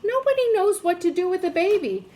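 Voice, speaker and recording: A woman's voice: very high voice, very animated, brisk, dark tone, pitched above the performer's own voice. A woman voicing an earnest, exasperated young schoolboy in a storybook, emphatic and complaining. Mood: exasperated, urgent.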